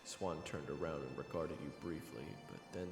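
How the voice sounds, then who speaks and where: Low-voiced man narrating with music. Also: moderate pace, reverberant, big-room sound. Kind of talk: scripted second-person story narration.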